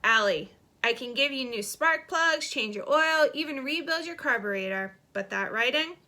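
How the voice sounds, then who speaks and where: A woman's voice: high-pitched, animated, moderate pace, bright, forceful tone, pitched above the performer's own voice. A woman voicing an easygoing, joking older brother in an audiobook, reluctant but kind, with casual, emphatic phrasing. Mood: joking refusal.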